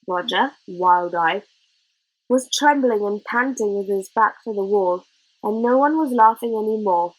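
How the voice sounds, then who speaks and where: A woman reading a children's novel aloud as narrator, in an expressive storybook style. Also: medium pitch, measured pace, rough recording.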